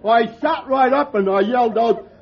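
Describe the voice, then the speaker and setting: An actor playing a dim-witted, cheerful barfly in 1940s radio comedy, with a slow, dopey and innocent delivery. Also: male voice, extremely high pitch. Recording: lo-fi old radio broadcast with hiss.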